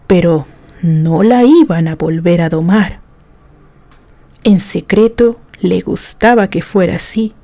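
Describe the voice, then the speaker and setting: Slow, mellow-voiced woman reading a story. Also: mid-range voice. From Mexico. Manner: slow, gentle storytelling, softening to a hush. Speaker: narrator. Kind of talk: scripted story narration. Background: background music.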